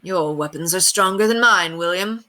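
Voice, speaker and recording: A woman voicing a noble, warm-hearted male knight in a storybook reading. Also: fairly low pitch, moderate pace. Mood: grave.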